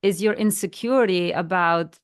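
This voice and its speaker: A woman's voice: fairly low pitch, moderate pace, clean tone. Polish lady podcast cohost, earnest chat, sometimes hunts for words. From Poland. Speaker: podcast co-host. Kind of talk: casual chat.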